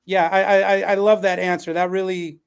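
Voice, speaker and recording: A man's voice: sits high, little pitch movement, fairly quick, vocal fry, clear, resonant tone. A man speaking conversationally as a panel host and schoolteacher, earnest and appreciative. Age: adult, parent of a newborn.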